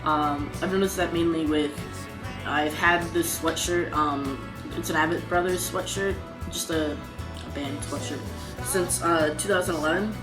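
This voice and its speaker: A young man talking casually in a video diary, pausing often and filling gaps with "um". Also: on the high side. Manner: halting, frequent ums. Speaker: vlogger. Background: guitar music.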